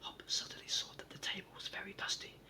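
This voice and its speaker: A reader narrating a children's storybook entirely in a soft, steady whisper. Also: fast, distant mic.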